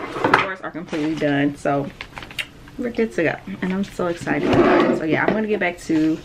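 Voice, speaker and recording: A young adult woman chatting informally to viewers in a casual vlog, recorded indoors on a nearby camera. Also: fairly low pitch. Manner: informal, addresses viewers directly. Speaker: vlogger. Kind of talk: casual vlog chat, narrating a house tour. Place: indoors, rooms of a house under renovation. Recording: clear background noise, clicks.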